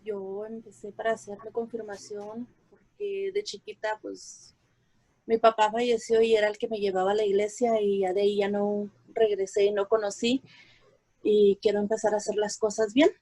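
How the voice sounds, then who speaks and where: A woman's voice: medium pitch, fairly even intonation, normal speed, mellow, no echo. A woman introducing herself informally as a participant in a class.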